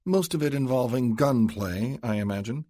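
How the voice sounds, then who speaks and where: A man's voice: medium pitch, lively intonation, moderate pace, mellow, voice pushed up, close to an everyday voice. A man putting on a poised, charming young lady. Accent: Philadelphia upper-class. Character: cool, charming young upper-class woman.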